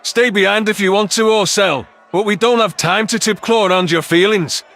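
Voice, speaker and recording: Man voicing an impatient alien soldier, fairly high. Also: normal speed, fairly bright tone, faint music behind. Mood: exasperated. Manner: exasperated, confrontational. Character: impatient, suspicious alien soldier with claws and bared teeth.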